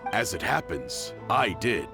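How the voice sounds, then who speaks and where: A man voicing a composed, helpful townsman in a video game, speaking in a measured, matter-of-fact way. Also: deep voice, sing-song, gravelly, over music, exaggerated voice. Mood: calm, informative. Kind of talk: video game character voice acting.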